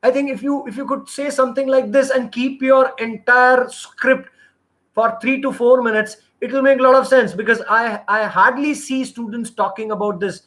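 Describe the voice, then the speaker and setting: A middle-aged man coaches job applicants in a live session. His voice sits high, and he talks in an earnest, rambling way, often restarting his sentences. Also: steady tone, normal speed, fairly bright tone. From India. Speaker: interview trainer.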